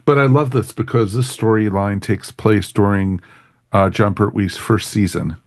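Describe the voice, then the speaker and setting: A man's voice: fairly low pitch, steady tone, moderate pace, no echo, clean studio sound. A man hosting a casual fan review podcast, speaking in an enthusiastic, agreeable way. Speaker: podcast host.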